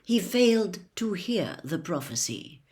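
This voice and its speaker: A cold, secretive wizard, played by a woman reader from a storybook. He speaks low, hushed and slowly, with controlled, measured menace. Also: very animated. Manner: quiet, slow, coldly measured. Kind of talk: audiobook character voice.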